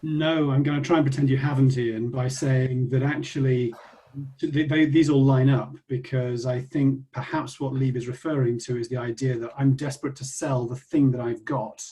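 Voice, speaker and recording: A man speaking as a panelist in a webinar, giving advice in a measured way. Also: mid-range voice, dark tone.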